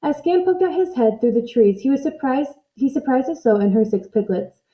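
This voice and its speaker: A woman reading a children's story aloud in an expressive narrating style. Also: high voice, normal speed, rough recording. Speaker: storybook reader.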